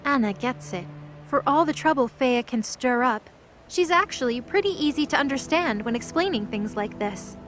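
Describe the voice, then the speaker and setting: A woman's voice: high voice, animated, normal speed, a bit bright, slight background noise. A woman narrator reading scripted third-person narration for an audio drama.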